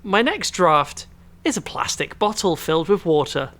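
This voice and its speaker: Higher-pitched man chatting on a podcast. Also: very animated, normal speed, fairly bright tone. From Belgium. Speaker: podcast co-host. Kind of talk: casual podcast chat.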